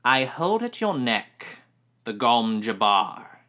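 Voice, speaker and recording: Man voicing ancient stern old woman, slow menacing drawl, bright tense tone, storybook reading. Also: medium pitch, sing-song, exaggerated voice. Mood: commanding.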